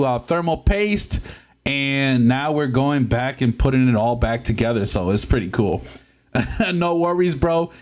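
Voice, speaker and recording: Slow, laughing man chatting on a live stream. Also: medium pitch. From Puerto Rico. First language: Spanish. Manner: laughs often, stumbles over words, chatty and relaxed. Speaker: livestream host. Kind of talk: casual live-stream chat while repairing a console.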